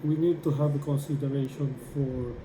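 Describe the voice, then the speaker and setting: A man giving a hands-on how-to demonstration, explaining step by step in a halting way as he works. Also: mid-range voice, fairly even intonation. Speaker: tutorial presenter. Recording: echoey room with clear background noise.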